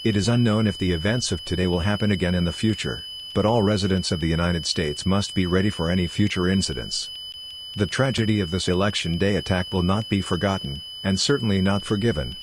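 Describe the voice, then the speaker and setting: Guy doing a deep formal emergency alert announcer, solemn and measured, hum and noise behind him. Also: natural voice. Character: solemn official government emergency broadcast announcer. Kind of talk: scripted emergency broadcast announcement.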